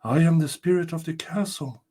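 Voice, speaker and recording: A man voicing a calm, solemn female spirit from a storybook folktale, speaking in a measured way. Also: on the high side, husky, pitched above the performer's own voice.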